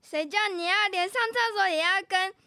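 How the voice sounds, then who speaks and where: A woman's voice: extremely high pitch, moderate pace, sharp tone, dry recording, clean studio sound, heavily acted. A young girl acting in a children's radio drama as a bossy, scheming big sister. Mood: annoyed, bossy. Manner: bossy, coaxing.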